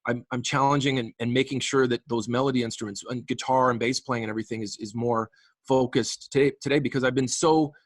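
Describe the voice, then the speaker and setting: A man's voice: medium pitch, fairly even intonation, fast talker, dry recording. A man in his late forties from the Canadian prairies, chatting as a guest musician in a casual interview, enthusiastic and rambling with frequent restarts. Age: late 40s.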